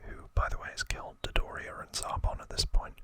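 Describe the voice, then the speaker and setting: An ASMR host whispering a casual recap in a halting way, with asides. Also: sharp tone.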